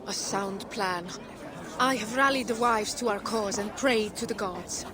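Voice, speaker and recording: A woman's voice: mid-range voice, measured pace, lightly acted. Lady acting a loyal viking wife from a game, earnest, resolute, noisy crowd in the background. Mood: concerned, resolute. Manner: earnest, encouraging. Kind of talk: dramatic video game acting. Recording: busy outdoor crowd and noise behind.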